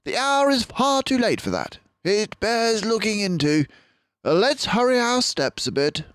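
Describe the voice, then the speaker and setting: A calm, inquisitive gentleman mouse sleuth from a storybook, acted by a man. He speaks slowly in a high voice, with measured, courteous authority. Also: smooth, no room sound, clean studio sound, full character voice. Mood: calm, kindly. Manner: measured, questioning.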